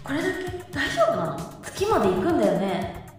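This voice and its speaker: A woman's voice: sits high, big pitch swings, a little fast. A woman playing an anxious, blunt young space traveller in a radio drama, talking casually and questioningly. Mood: anxious, incredulous. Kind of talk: Japanese radio drama acting. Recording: long echoey reverb, music behind.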